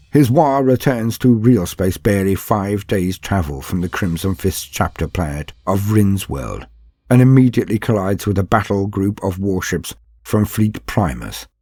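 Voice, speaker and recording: A man reading scripted fantasy lore narration in a theatrical storyteller manner, pausing for effect. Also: lowish voice, darkish tone, slightly rough, no room sound. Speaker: narrator of a fan lore channel.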